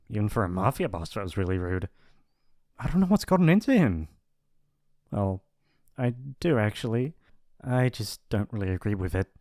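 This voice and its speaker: A man's voice: mid-range voice, a little mellow, no room sound, studio quality, natural voice. A man playing a shy, apologetic young mafia boss's son in an intimate ASMR roleplay, with a hesitant, rambling, awkward delivery.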